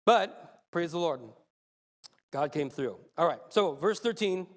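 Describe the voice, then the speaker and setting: A man preaching a sermon, a little raspy and hoarse from a cold, excitable and laughing as he talks. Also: a little high, measured pace, in a large hall. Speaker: lay preacher.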